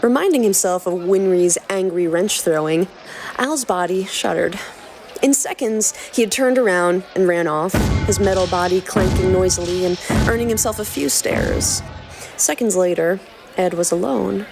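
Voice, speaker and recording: A woman's voice: lowish voice, normal speed, vocal fry, darkish tone, faint music behind. A woman podcast host reading a scripted promo and narrating a story dramatically.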